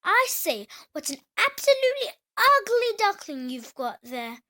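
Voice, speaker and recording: A woman's voice: very high-pitched, very animated, unhurried, pushed and bright, voice pushed up, full character voice. A woman voicing a haughty, scornful female farmyard duck in a children's storybook, snooty and complaining. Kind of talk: children's storybook read-aloud.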